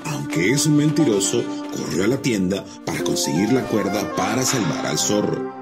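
Low-voiced, animated male storyteller. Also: moderate pace. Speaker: narrator. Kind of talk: scripted children's folk tale narration. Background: music.

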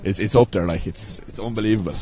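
Young man, Irish accent, quick, thrilled, outdoor pitch. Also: mellow, husky.

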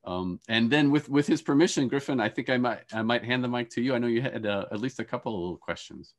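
A middle-aged man making conversational, explanatory panel remarks as a moderator, halting and repeating words as he goes. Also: medium pitch. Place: indoors on a video call.

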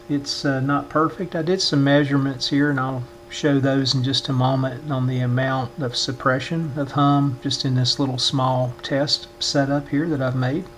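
A middle-aged or older man narrating a hands-on demonstration in a measured, explanatory way, often pausing mid-phrase. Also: medium pitch, slight background noise. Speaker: vintage radio restorer giving a demonstration.

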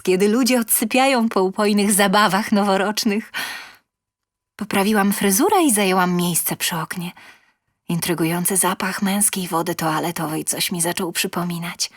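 A woman's voice: mid-range voice, normal speed, no room sound. Woman reading a story, dry close sound. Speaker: story reader. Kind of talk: scripted first-person narration.

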